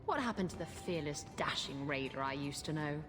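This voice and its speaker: An actress playing a brash, flirtatious pirate captain in a video game cutscene, teasing and sardonic. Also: female voice, low voice, animated, a little fast, over music. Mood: playful, teasing.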